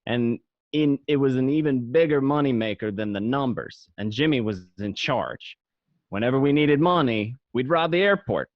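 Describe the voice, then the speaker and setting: A man's voice: medium pitch, lively intonation, normal speed, dry recording, close to an everyday voice. A man playing a cocky gangster who narrates his life of crime in an amateur radio play. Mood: boastful. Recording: dry, dull home recording.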